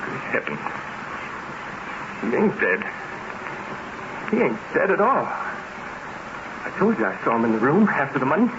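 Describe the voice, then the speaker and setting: A man's voice: medium pitch, big pitch swings, unhurried, long pauses. An actor playing an agitated, greedy, domineering man with an urgent, insistent delivery in an old-time radio horror drama. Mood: agitated defiance. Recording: noisy, dull vintage radio recording.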